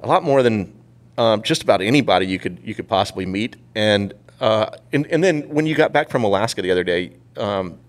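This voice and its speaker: A man hosting a podcast in casual chat, speaking informally and haltingly, often repeating phrases. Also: lowish voice, expressive. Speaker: podcast host.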